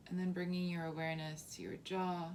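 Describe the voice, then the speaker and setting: A woman leading a guided body scan meditation as a teacher, softly and calmly, with unhurried phrasing and long pauses. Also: fairly low pitch, slight background noise. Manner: soft, unhurried, calming instruction with long pauses.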